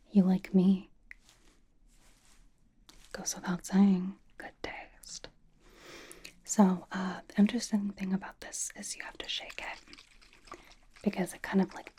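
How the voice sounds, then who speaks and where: A woman whispering as a blunt but doting young female pop idol in a gentle, casual ASMR skincare roleplay. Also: medium pitch, natural voice. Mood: playful, doting. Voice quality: whispered, breathy. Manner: slow, gentle, casual, reassuring. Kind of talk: ASMR personal attention roleplay.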